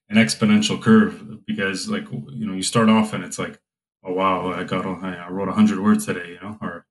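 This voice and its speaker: A young man hosting a relaxed conversation, talking in a thoughtful way with plenty of fillers. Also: sits low, rough recording. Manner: reflective, with frequent fillers. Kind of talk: casual conversation.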